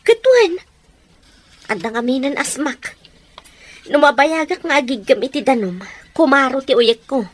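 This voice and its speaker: A woman's voice: high voice, expressive, measured pace, long pauses. A whiny sickly woman. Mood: complaining. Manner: complaining, whiny. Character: sickly complaining young woman.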